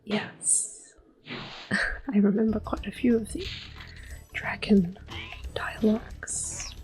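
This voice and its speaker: A woman giving gentle, mostly whispered ASMR commentary as she hosts a video game playthrough. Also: medium pitch, steady tone, slow. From Europe. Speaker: host of a gameplay series. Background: quiet game music and controller clicks.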